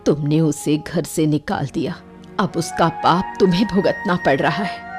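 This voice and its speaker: Woman as a low, bitter scolding village wife. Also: measured pace, over music. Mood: reproachful, bitter. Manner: reproachful, weary. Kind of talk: cartoon voice acting.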